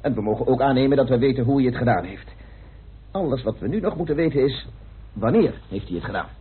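A man's voice: medium pitch, lively intonation, fast, slightly rough. An actor playing an assertive, impatient male investigator in a Dutch radio play. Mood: brisk, probing. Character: Mr Bly, assertive, impatient investigator presenting a murder case. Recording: muffled, band-limited old radio recording.

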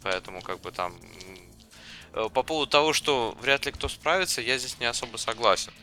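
A man's voice: medium pitch, animated, brisk, sharp tone, soft background music. A man in a casual tech debate, arguing his point in hesitant, broken-up phrases.

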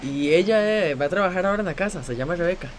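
An actor playing a caring, eager young friend in a radio drama, with a Costa Rican accent and an earnest, persuasive manner. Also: male voice, high-pitched, lively intonation, fast, slight background noise.

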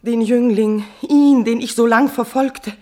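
An actress playing a guilt-tormented, passionate queen in a classical verse tragedy for radio, declaiming with shifts between hushed and forceful delivery. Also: on the high side, fairly even intonation, measured pace, natural voice. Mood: anguished passion. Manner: declamatory, swinging from whispers to outbursts.

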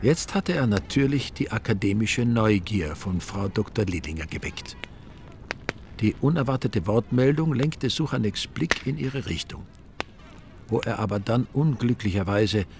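An actor with an Austrian accent narrating a radio drama as a wry storyteller, in ornate mock-epic style. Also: male voice, on the low side, expressive, normal speed, noisy background. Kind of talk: scripted literary narration.

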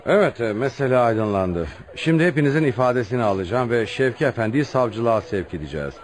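Formal, mid-pitched police inspector played by a man. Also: animated, fast, natural voice. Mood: stern, official. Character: stern, official police inspector closing a case. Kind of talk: radio drama acting.

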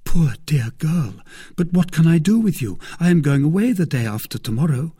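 A man voicing a gallant, commanding young gentleman soldier in an animated, authoritative audiobook reading. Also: on the high side, normal speed, breathy edge, pitched above the performer's own voice. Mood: reassuring.

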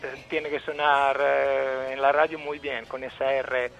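A man's voice: mid-range voice, level tone, unhurried, gravelly, highs cut off, soft background music. A man with an Italian accent, a native Italian speaker, chatting casually as a radio contributor. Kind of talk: casual radio chat and recommendations.

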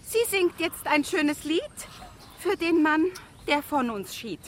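A woman's voice: high-pitched, lively intonation, slow, slight background noise. A woman playing a bossy, businesslike funeral organiser in a rhyming radio play. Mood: brisk, bossy.

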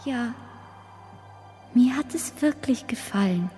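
An actress voicing a small, earnest furry alien creature in a comic radio play, whispery and slow. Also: female voice, fairly high pitch, animated, warm tone. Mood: earnest, appreciative. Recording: music under the voice.

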